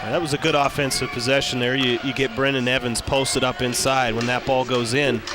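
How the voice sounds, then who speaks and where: Mid-pitched male basketball color analyst. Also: level tone, a little fast. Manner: conversational, explanatory, often rephrasing himself. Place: courtside in a basketball arena.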